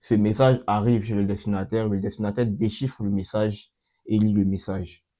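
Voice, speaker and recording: A young man hosting his channel and talking through a coding demo in a casual way, with a cold that makes his voice a bit off. He repeats himself often and fills pauses with hesitations. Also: lowish voice, fairly even intonation, warm tone. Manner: repeats himself, many fillers and restarts. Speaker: channel host. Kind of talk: casual screen-recorded coding walkthrough.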